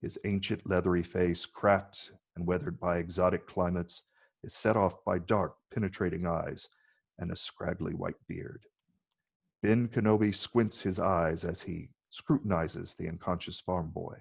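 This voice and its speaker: A man's voice: deep voice, steady tone, unhurried, creaky, husky. A man narrating scripted stage directions in a table read.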